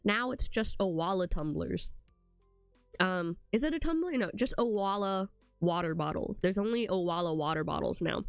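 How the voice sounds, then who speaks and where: Close and dry, a young woman talks to her stream with a stuffy, congested voice, wandering from thought to thought and packing her sentences with "like" and "um". Soft music plays faintly underneath her. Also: lowish voice, expressive, normal speed, gravelly, clean tone, no room sound. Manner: rambling, full of "like" and "um". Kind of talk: casual chat to a live stream.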